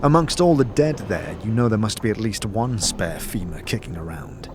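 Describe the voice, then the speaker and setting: A man's voice: fairly low pitch, expressive, normal speed, a little hiss or hum. A man reading scripted narration for a fantasy audio drama.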